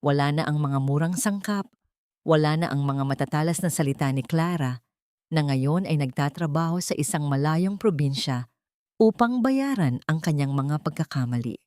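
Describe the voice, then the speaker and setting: A woman with a low, dark voice narrates a dramatic first-person story. Her delivery is solemn and deliberate, with a sentimental storytelling feel. The sound is dry, with hardly any room on it. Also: lively intonation, dry recording.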